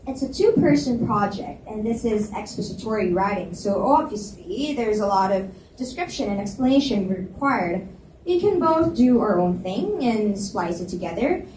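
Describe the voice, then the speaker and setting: A woman's voice: mid-range voice, expressive, moderate pace, a little mellow, lightly acted. A woman reading the part of a tired, blunt, sarcastic young college student in a live dramatic reading of romance fiction. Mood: businesslike. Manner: blunt, sardonic. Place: live reading in an echoey indoor room. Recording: echoey room, distant mic, hiss.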